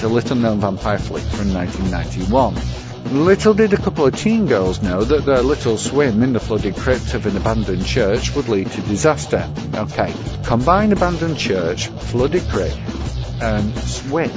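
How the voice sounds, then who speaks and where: A man giving a scripted, wry and bookish commentary as a podcast segment presenter. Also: medium pitch. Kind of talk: scripted commentary on vampire lore. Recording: dull lo-fi sound, music behind.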